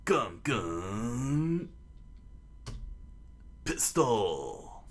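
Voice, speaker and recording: A man acting out a bold, cheerful pirate boy from a storybook adventure. The delivery is brash and confident, declaimed in a fairly low voice. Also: expressive, a little slow, a bit bright, husky, heavily acted. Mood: determined.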